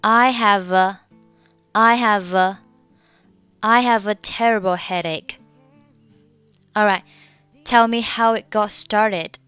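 A woman teaching English pronunciation, reading dialogue lines and explaining sound linking with slow, careful repetition, a Mandarin speaker. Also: medium pitch, steady tone, fairly bright tone, clean tone, dry recording, soft background music. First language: Mandarin Chinese.

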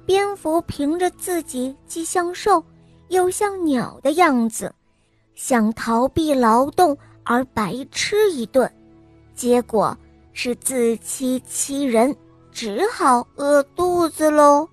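A young woman narrates a children's bedtime story, sweet and sing-song with a gently coaxing lilt. Her voice is high and her pace unhurried. Soft music plays faintly behind her. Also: expressive, no echo.

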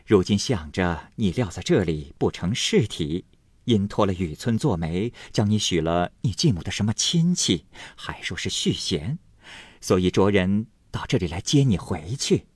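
A sharp-tongued young wife mocks and chatters briskly, as a man acts her out in a storybook reading. The voice is husky with lively pitch, recorded dry and close. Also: no echo, exaggerated voice. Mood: teasing. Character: sharp-tongued young married woman, teasing.

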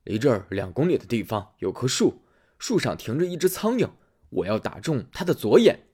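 A cool-headed marksman , voiced by a man, speaks low and quiet in this storybook. His husky voice stays level and unbothered. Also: big pitch swings, fairly quick. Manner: cold and level. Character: calm, cool-headed male marksman hunter.

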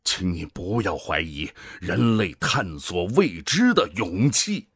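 An actor in a science-fiction radio drama playing a cold, ambitious middle-aged commander with a measured, authoritative, persuasive manner. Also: male voice, low-pitched, big pitch swings, a bit bright, husky, no echo, clean studio sound, full character voice. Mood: persuasive, earnest. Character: cold, ambitious male project commander, middle-aged, coaxing and self-justifying.

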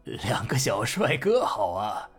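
A kindly elderly male guard in a radio drama. He speaks slowly with lively swings of pitch and drawn-out, indulgent sighs, over faint music. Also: husky, exaggerated voice. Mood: friendly. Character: kindly old male security guard, soft-hearted grandpa. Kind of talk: audio drama acting.

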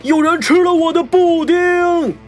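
A woman's voice: extremely high pitch, measured pace, darkish tone, faint music behind, pitched above the performer's own voice. A woman voicing a big, outraged father bear, bellowing in a children's radio play. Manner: bellowing, roaring.

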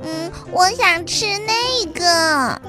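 A woman's voice: very high-pitched, expressive, over music, voice pushed up, full character voice. A woman voicing a spoiled but sweet little egg girl who whines and pleads in a childish way, in a storybook reading. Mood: whining. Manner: pleading, drawn-out childish whining.

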